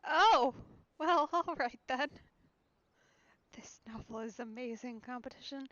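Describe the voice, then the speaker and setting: A woman's voice: sits high, slow, fairly bright tone. A woman giving casual, amused and wry commentary as she streams a game. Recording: muffled, roomy mic with faint game music. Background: game music.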